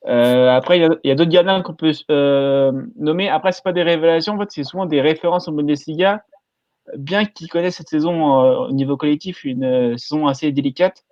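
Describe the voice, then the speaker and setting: A man talking casually on a podcast, hesitant and filling pauses with euh. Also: a little high, a little mellow, no room sound, lo-fi sound. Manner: hesitant, many fillers. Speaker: podcast panelist.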